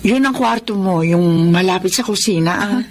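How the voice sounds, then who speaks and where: A woman's voice: mid-range voice, normal speed, slight background noise, lightly acted. A woman acting a firm but kindly lady of the house in a Filipino melodrama, speaking instructively.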